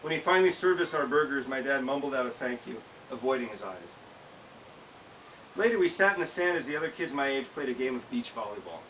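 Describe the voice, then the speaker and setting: An American man reads a personal essay aloud at a live event. His mid-pitched voice is bright and clear-edged. It is caught by a camera mic at some distance, with faint hiss in the room. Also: lively intonation, fast. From United States. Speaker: author reading from own work. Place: small bookshop event, indoors. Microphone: camera several feet away. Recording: distant mic, hissy background noise.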